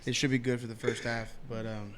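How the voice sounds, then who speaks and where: Relaxed man, casual podcast talk. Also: mid-range voice, heavy background noise. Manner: relaxed, halting with fillers. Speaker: podcast co-host. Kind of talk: casual chat.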